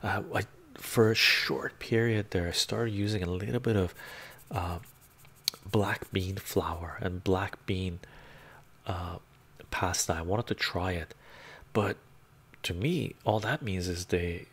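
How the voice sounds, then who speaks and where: Gravelly deep-voiced man on a live stream. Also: steady tone, slow pace, a bit bright. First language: Romanian. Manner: rambling, chatty, with frequent "right?" asides. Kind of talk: casual live-stream chat, reading comments. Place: home room full of bookshelves. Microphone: lapel mic.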